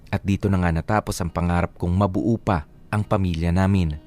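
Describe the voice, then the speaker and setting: A man's voice: sits low, steady tone, a little fast. A man with a Filipino accent narrating a first-person letter in dramatic radio storytelling style. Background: soft guitar music.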